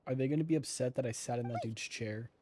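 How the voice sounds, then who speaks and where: A man's voice: mid-range voice, fast, noisy background. A man casually commenting on a video game as he plays, with wry, dry asides. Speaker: gaming streamer.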